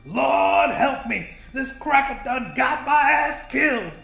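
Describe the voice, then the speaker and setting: A man's voice: very high-pitched, expressive, slow pace, vocal fry, pushed and bright, roomy sound, faint music behind, pitched above the performer's own voice, heavily acted. A man voicing a big, angry, foul-mouthed cook with a scornful, slangy drawl in an audiobook story. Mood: exasperated, loud.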